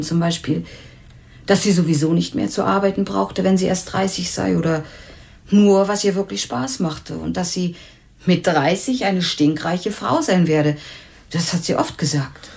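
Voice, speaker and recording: A woman's voice: on the low side, normal speed, creaky, darkish tone. An actress playing a candid, unsentimental young working woman in a crime radio drama, talking bluntly and colloquially. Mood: reflective. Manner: blunt, colloquial, matter-of-fact. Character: candid, unsentimental young former waitress, murder victim's flatmate.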